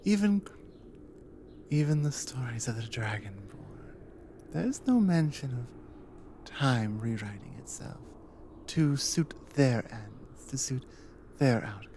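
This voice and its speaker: A woman playing a devoted, protective Nordic adventurer wife in an intimate ASMR roleplay, drowsy and tender, breathy and often slipping into a whisper. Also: a bass voice, expressive, slight background noise, heavily acted. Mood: sleepy awe. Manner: slow, soft, tender with pauses.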